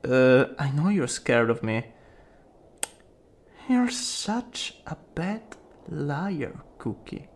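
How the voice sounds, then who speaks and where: A man acts out a rude young bully in an ASMR roleplay, all swagger over a hidden soft spot. The voice is gravelly and husky, and it swings widely in pitch between taunting and flustered. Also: big pitch swings, extremely slow, long pauses, exaggerated voice. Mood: gruff, apologetic. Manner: cocky taunts breaking into stammering, breathy asides.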